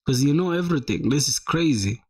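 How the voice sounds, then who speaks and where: A man's voice: fairly high pitch, fairly quick, breathy edge. Slangy young man hyping viewer sites. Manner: slangy, animated, calls viewers "bro" and "my boy". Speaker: streamer reviewing viewer websites. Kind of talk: casual live-stream chat.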